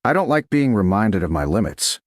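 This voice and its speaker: A man voicing a guarded young noblewoman, speaking softly and warily. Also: lowish voice, big pitch swings, fairly quick, no echo, clean studio sound. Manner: soft, hushed delivery.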